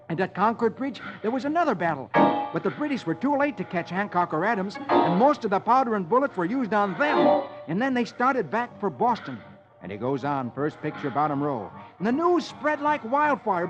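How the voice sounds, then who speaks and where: An American man hosting and reading comics aloud in dramatic 1950s radio storytelling style for children. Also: high-pitched. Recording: muffled old radio recording.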